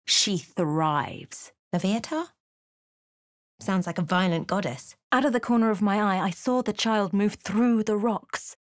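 A woman voicing an observant adventuress in a fantasy video game, with measured, literate, dramatic delivery and wry asides. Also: mid-range voice, dry recording, studio quality, close to an everyday voice. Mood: dry, wry. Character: observant young adventuress, a fantasy game companion recounting her journey. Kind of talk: video game voice lines, dramatic narration and remarks.

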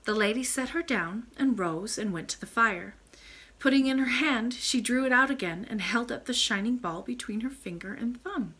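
Woman, thirties, bright forceful tone, expressive storybook reader, indoors. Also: on the high side, moderate pace, breathy edge. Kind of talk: expressive bedtime story reading with informal asides. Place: small room with bookshelves, indoors.

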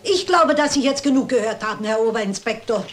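Elderly amateur sleuth played by a woman: fairly high voice, courteous, composed, quietly probing radio-drama delivery. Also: over loud music, natural voice. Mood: calm, probing. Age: older. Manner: polite, measured, probing. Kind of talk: German detective radio play acting.

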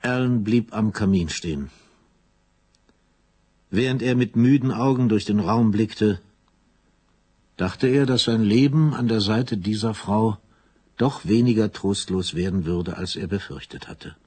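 A man reading the narration of a novel with a measured, serious delivery. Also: on the low side, mellow, slightly rough. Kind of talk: literary narration. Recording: music under narration.

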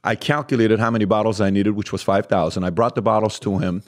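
A man in his forties from Iran, a native Assyrian speaker, telling stories as a podcast guest in a studio, animated and joking. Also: lowish voice. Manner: animated storyteller, quick asides and self-deprecating jokes. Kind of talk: casual interview storytelling. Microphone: studio mic with headphones.

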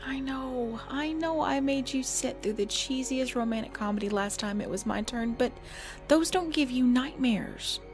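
A woman playing a cute, easily scared girlfriend in an intimate ASMR roleplay, pleading and whiny, often hushed. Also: sits high, normal speed, music in the background. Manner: pleading, whiny, dropping into hushed self-talk. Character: cute, jumpy girlfriend frightened by scary movies. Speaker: roleplay audio performer.

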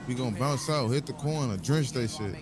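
A man's voice: mid-range voice, brisk. A man playing a crime role-play game, giving slangy, repeated instructions to his teammates. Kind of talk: gaming chat with teammates.

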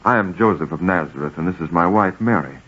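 An actor playing a humble, earnest carpenter in a 1940s radio drama, speaking in a measured, deferential way. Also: male voice, low voice, expressive, fairly bright tone, exaggerated voice. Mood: humble, pleading. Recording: dull, husky-sounding 1940s radio recording.

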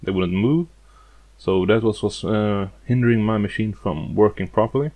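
A man with a fairly low voice walks through a demonstration step by step. He keeps it plain and matter-of-fact, explaining like a hobbyist. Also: moderate pace, darkish tone. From outside the United States. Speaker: hobbyist demonstrating a vintage gadget.

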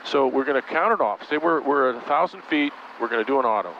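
A man coaching a student pilot in a helicopter cockpit, giving calm, coaxing instructions in short commands over a headset intercom. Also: mid-range voice, fast talker. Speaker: flight instructor. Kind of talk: in-flight coaching. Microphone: aviation headset intercom. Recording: muffled intercom audio with engine noise.

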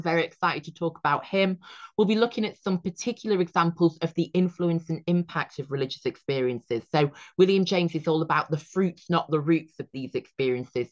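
Hoarse young man tutoring. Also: fairly high pitch, lo-fi sound. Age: young adult. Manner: upbeat, encouraging, chatty. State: hoarse, recovering from losing his voice. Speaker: revision tutor. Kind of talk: exam revision lesson. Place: indoor room with bookshelves.

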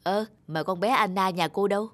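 A woman's voice: medium pitch, normal speed, fairly bright tone, lightly acted. Blunt middle-aged cartoon neighbour woman, acted out. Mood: sympathetic. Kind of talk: cartoon voice acting.

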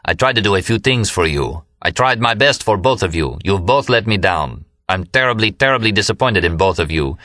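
A courteous young man played by a male narrator pleads with his friends in an audiobook. He sounds wounded and sincere, formal in his wording, with a clear bright edge to the voice. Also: low voice, lively intonation, fairly quick, few pauses, no echo, clean studio sound. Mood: hurt, resigned.

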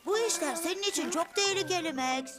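A woman's voice: very high voice, a little fast. A woman voicing a lazy, cautious young boy bee in a dubbed children's cartoon, complaining and reluctant. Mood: grumbling, worried. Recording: loud music behind the voice.

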